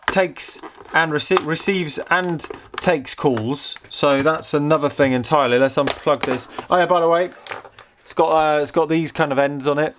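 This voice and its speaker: A British man giving casual, excitable hands-on commentary as he tinkers with gadgets, thinking aloud informally. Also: a little high, a little hiss or hum. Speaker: host of a vintage technology museum channel.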